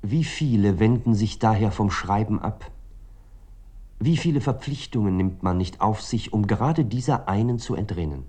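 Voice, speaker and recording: An actor playing a reflective, earnest writer in a literary radio play, speaking in a measured, declamatory way. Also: male voice, lowish voice, warm tone, breathy edge. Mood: earnest, insistent. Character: reflective male writer, earnest and resolute about art. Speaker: actor playing the first-person narrator.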